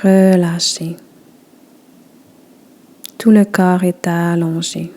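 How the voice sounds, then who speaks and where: Slow, mellow-voiced woman guiding relaxation. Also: on the low side, flat delivery. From Quebec. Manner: slow, soft, soothing instructions. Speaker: yoga nidra guide. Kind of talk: guided relaxation.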